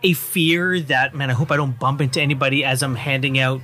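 A man's voice: medium pitch, steady tone, brisk, sharp tone. A man interviewing a guest, asking curious follow-up questions and responding with short agreements. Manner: curious, lots of short back-channel replies. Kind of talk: casual interview conversation.

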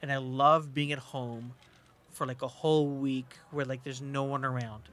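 A man's voice: mid-range voice, steady tone, normal speed, fairly bright tone. A man hosting a podcast from a hotel bar, asking friendly questions with short interested prompts. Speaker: podcast host. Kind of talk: casual interview chat.

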